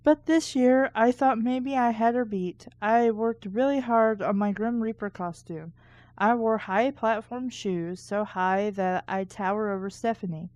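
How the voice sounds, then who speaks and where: A woman's voice: fairly high pitch, unhurried, darkish tone, dry recording. A woman casually reading a book aloud, with sarcastic, mildly crude asides and stumbles she corrects as she goes. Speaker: reader and reviewer of children's books.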